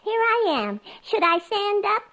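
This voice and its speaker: Dim, earnest young female secretary, extremely high voice, 1940s radio comedy. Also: very animated, a little slow, fairly bright tone, full character voice. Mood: innocent. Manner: naive, earnest malapropisms. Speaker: comedy sketch actress. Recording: old radio comedy recording.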